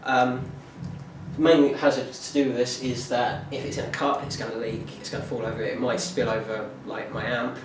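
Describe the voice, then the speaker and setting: A man's voice: mid-range voice, little pitch movement. Young man, echoey distant recording. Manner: halting, many fillers and restarts. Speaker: music student presenting a performance plan. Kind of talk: informal spoken presentation. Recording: echoey room from far off, noisy.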